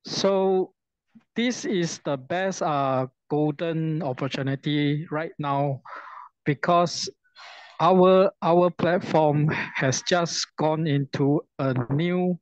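A man hosting a video call Q&A, talking slowly and reassuringly and repeating himself, over dull call audio. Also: a little high, clean tone, dry recording. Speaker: Zoom session host.

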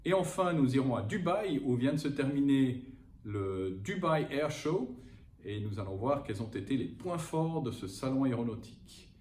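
A middle-aged man hosting a travel news show, introducing segments with lively emphasis from a room overlooking an airport. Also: mid-range voice, normal speed. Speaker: host. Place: indoors by a window over an airport. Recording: roomy, from a distance, faint noise.

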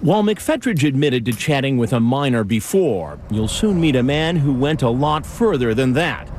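A man's voice: medium pitch, expressive, moderate pace, a little mellow, slight background noise. A man giving grave, scripted narration for a television crime documentary.

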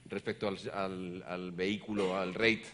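Deep-voiced middle-aged man moderating a panel. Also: level tone, unhurried, a bit bright. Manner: hesitant, many repeated words and fillers. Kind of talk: explanatory panel talk. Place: conference stage, seated in an armchair.

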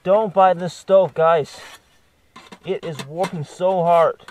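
Slow-talking man on a noisy outdoor recording. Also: sits high, a little mellow. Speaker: camping vlogger. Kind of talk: casual talk to camera while working. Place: outdoors in snow by a tent. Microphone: camera a few steps away. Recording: noisy outdoor recording, clicks and handling sounds.

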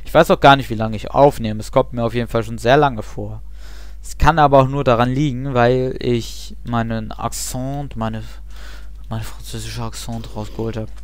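A man's voice: medium pitch, fairly even intonation, moderate pace, smooth, no echo. Young man rambling over gameplay. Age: young adult. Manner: chatty, rambling, self-mocking asides. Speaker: let's play host. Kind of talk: casual gameplay commentary.

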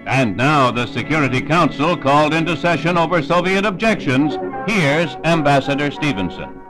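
Higher-pitched American man narrating a 1960s newsreel. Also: animated, normal speed, poor audio. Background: music.

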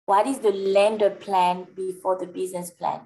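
A woman with a French accent explains business topics to a live webinar audience, pausing with plenty of fillers. Her voice sits at an ordinary middle pitch, picked up by a webcam on a video call. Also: steady tone, dark tone, low-quality recording. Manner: explanatory, hesitant fillers, friendly. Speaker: webinar presenter.

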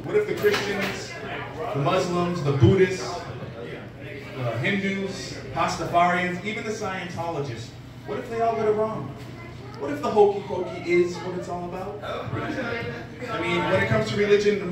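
A man in his forties doing a stand-up set at an open mic, delivering deadpan one-liners with lots of filler pauses. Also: high-pitched. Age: 40s. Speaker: stand-up comedian. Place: small dim bar stage with a live audience. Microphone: handheld mic, filmed from far back in the room. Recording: echoey bar room heard from far off. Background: audience laughter and bar crowd.

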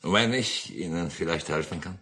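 An actor playing a polite, quietly friendly army officer in a 1950s radio play, courteous and laconic. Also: male voice, low-pitched, lively intonation, moderate pace, husky, full character voice. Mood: polite, reserved. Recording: noisy mono 1950s radio recording.

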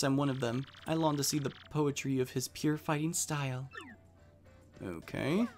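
A man giving chatty, rambling, casual commentary as he plays a video game. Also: medium pitch. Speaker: let's player. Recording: music behind the voice. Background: game music.

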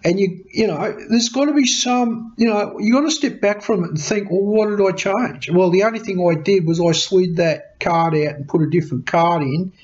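Middle-aged Aussie man, high-ish voice, head cold, casual rambling tech vlogger, muffled webcam sound. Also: moderate pace, hardly any pauses. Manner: chatty, repeats words, animated hand gestures. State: has a cold. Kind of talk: casual rambling explanation to camera. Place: small cluttered home workshop room. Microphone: webcam at desk. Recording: dull lo-fi webcam audio.

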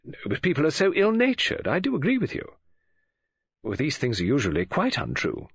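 A woman's voice: low-pitched, huge pitch swings, fairly quick, vocal fry, slightly rough, no echo, highs cut off, pitched above the performer's own voice, exaggerated voice. Man voicing a gushing, swooping English lady gossip. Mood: gossipy. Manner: gushing, exclamatory, breezy. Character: chatty, flustered, gossipy middle-aged English woman novelist.

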